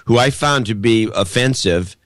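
A man with a cold, his voice gravelly and hoarse, pushing hard and almost shouting through casual opinionated chat as a podcast co-host. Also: lowish voice, moderate pace, dry recording. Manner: loud, pushing air, close to shouting.

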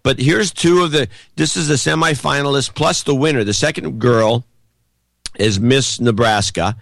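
Hoarse man co-hosting a podcast, gravelly from a cold, loud and forceful, average pitch, dry close-mic sound. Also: normal speed, no room sound. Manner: loud, pushing air, close to shouting. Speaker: podcast co-host. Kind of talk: opinionated casual chat.

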